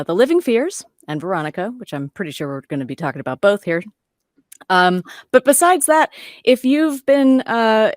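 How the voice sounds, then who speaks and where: A woman's voice: fairly low pitch, no echo, clean studio sound. A woman voice actor giving a casual introduction of herself as a guest on a panel.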